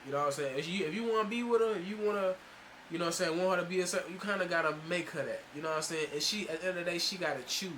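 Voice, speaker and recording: A man's voice: high-pitched, rapid-fire, fairly bright tone, a little hiss or hum. A young man giving blunt, profane reaction commentary, often saying "you know what I'm saying". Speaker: reaction streamer.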